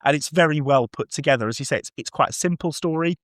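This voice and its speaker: A man's voice: on the high side, quick pace, dry recording, studio quality. A man talking casually and enthusiastically as a guest on a review podcast, effusive in his opinions.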